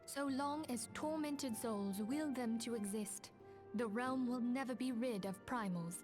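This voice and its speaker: A woman voicing a composed, formal female leader in a fantasy video game, speaking in a grave, measured way over music. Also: on the high side, natural voice. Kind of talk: scripted fantasy game dialogue.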